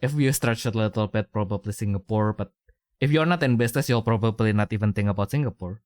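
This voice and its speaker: Soft-spoken young man, dry close sound. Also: fairly low pitch, fast talker, no echo. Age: young adult. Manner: rambling, many fillers, laughs often. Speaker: podcast co-host. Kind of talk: casual chat.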